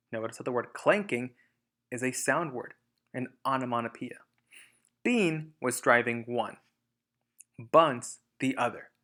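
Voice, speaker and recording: A man's voice: medium pitch, bright, forceful tone. A man reading a storybook aloud as a teacher, emphatic in narration and explanatory in asides.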